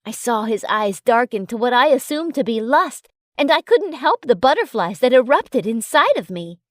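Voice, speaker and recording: A woman's voice: a little high, big pitch swings, moderate pace, dry recording, studio quality. A woman reading a first-person romance story as a scripted narration.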